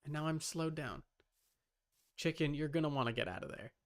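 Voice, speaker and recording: A man's voice: a little high, a little fast. A man livestreaming a video game, casually commenting and grumbling to himself as he talks to his chat. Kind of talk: casual gaming stream commentary, talking to chat. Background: music.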